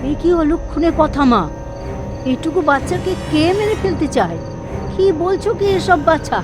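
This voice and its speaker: A woman voicing a knowing old village grandmother in an animated horror cartoon, speaking in a coaxing, motherly way. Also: very high voice, moderate pace. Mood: worried. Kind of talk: animated horror story dub. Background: background music.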